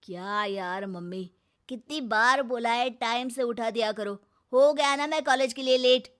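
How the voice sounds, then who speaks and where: Woman doing a cheeky college boy, whiny, brushes his mom off, highish voice. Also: unhurried, fairly bright tone.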